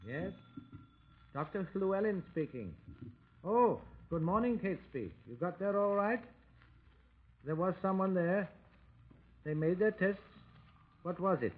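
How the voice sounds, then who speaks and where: A thin, narrow old radio sound carries a doctor overheard on the telephone. His voice is high, distant and brisk, in 1950s radio drama style. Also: animated. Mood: expectant, businesslike.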